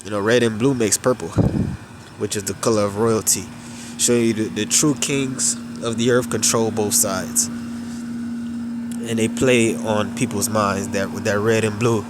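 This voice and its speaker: A man with a stuffed-up, nasal voice giving unhurried religious commentary, drawing out his phrases with filler pauses. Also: lowish voice, fairly even intonation, heavy background noise.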